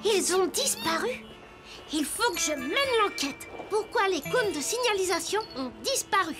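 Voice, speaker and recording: A woman's voice: very high-pitched, sing-song, a little slow, exaggerated voice. A woman voicing an eager young toy boy detective in a children's cartoon, curious and childlike in manner. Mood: curious, excited. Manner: curious, bright-eyed, childlike. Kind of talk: animated children's cartoon acting. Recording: music behind the voice.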